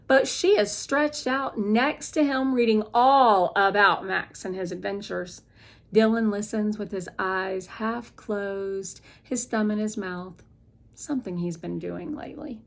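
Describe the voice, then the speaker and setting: A woman narrating a children's novel as an expressive, deliberate read-aloud. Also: medium pitch, vocal fry.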